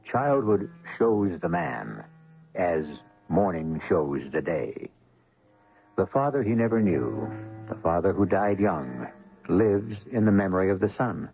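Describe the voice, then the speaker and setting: A man hosting a radio mystery drama, narrating from a script in a portentous, deliberately phrased style. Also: sits low, big pitch swings, vocal fry. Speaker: host and narrator. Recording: dull old radio recording, music behind. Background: music.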